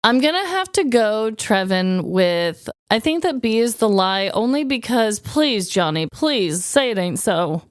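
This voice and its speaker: A woman's voice: mid-range voice, sing-song, measured pace, hardly any pauses, no echo, clean studio sound. A woman with an American Midwestern accent chatting casually and expressively as a podcast co-host, in an informal, exclaiming way. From rural Midwest United States. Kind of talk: casual comedic podcast chat.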